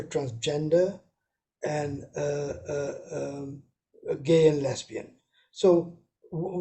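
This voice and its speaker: A man's voice: high voice, dark tone. A man hosting an interview, posing a long question hesitantly with many fillers. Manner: hesitant, searching for words. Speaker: podcast host, interviewer.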